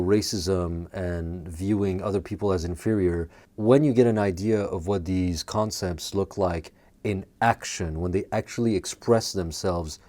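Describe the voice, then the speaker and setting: A deep, mellow male voice, a native French speaker talking in English. He delivers a personal monologue to camera as a video essay host, earnest and measured, leaning on "you know" and "like" as he thinks aloud. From Quebec. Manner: earnest and measured, with frequent fillers.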